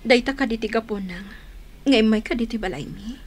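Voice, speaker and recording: A woman's voice: fairly high pitch, brisk, husky. An actress with a Filipino accent playing a troubled, hesitant young woman in a radio drama. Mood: guilty, pleading. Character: troubled, guilt-ridden pregnant young woman, subdued and hesitant.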